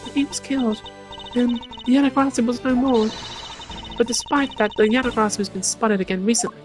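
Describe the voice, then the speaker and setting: A woman voicing a spirited, idealistic teenage girl thief from a video game, with a perky, earnest, expressive delivery. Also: fairly high pitch, fairly quick, lightly acted. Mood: confident, peppy. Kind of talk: voiced reading of video game dialogue. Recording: game music behind the voice. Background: game music.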